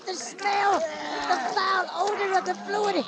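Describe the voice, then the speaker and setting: A voice actress playing a small, panicky alien beaver who speaks in a stilted, formal way in a comic radio drama. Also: female voice, very high-pitched, slow, vocal fry, heavy background noise, heavily acted. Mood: alarmed, disgusted. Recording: loud music under voice.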